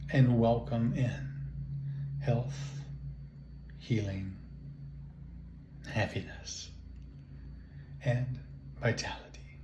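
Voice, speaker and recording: A man leading a guided relaxation meditation, speaking in calm, soothing, measured phrases with long pauses. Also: mid-range voice. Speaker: guided imagery and relaxation instructor. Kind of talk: guided meditation, slow spoken instructions. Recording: roomy echo, faint background noise.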